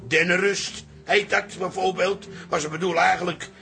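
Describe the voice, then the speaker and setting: A man's voice: high-pitched, steady tone, normal speed, vocal fry, bright, forceful tone, exaggerated voice. A man acting in a radio drama as a meddling older father-in-law with a folksy, wry, needling manner. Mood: wry, advising.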